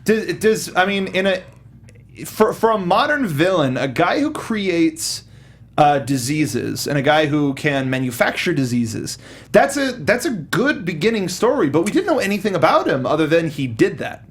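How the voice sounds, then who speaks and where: A man's voice: a little high, big pitch swings, normal speed. A man hosting a casual film review discussion, rambling and repeating his words as he acts out mock dialogue. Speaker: film review show host.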